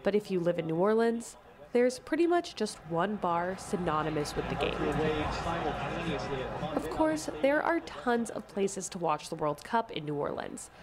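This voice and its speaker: A woman reporter reading scripted radio feature narration in a mid-range voice. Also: medium pitch.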